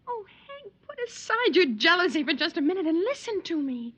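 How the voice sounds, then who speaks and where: An actress playing a gentle, fearful young wife in an old-time radio drama, speaking earnestly and emotionally with a breathy voice. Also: female voice, very high voice, sing-song, a little fast, heavily acted. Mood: pleading. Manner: earnest, emotional, hanging on words. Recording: dull vintage radio recording.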